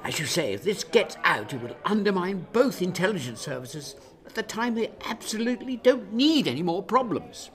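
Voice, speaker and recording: A male actor plays an exasperated in a radio play. The delivery is blunt and weary, with very lively intonation. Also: high-pitched, normal speed, few pauses, soft background music. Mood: wry, concerned. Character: exasperated, sharp-tongued British Prime Minister under pressure. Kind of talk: radio drama acting.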